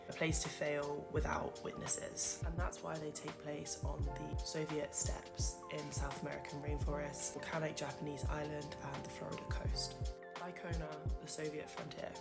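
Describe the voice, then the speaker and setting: Woman host, low husky-sounding register, measured scripted read over loud music. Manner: earnest, measured, lightly self-deprecating. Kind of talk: scripted explainer narration.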